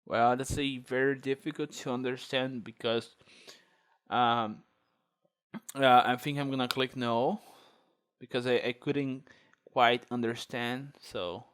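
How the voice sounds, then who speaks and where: Slow man with a Portuguese accent. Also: medium pitch, flat delivery, a bit bright, clear, resonant tone. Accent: Brazilian Portuguese. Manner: unhurried, thinking aloud with many fillers. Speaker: tech video host. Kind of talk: screen-recorded tutorial walkthrough.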